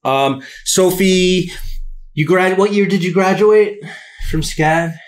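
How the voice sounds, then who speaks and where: A man co-hosting a podcast, introducing a guest in a quick, upbeat, casual way with fillers. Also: sits high. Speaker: podcast co-host, former teacher. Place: home room with bookshelves.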